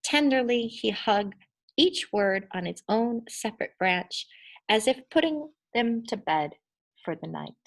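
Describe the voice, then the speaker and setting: Slow, expressive woman reading a storybook. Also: fairly high pitch, creaky, low-quality recording. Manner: deliberate, dramatic emphasis on words. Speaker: children's story reader.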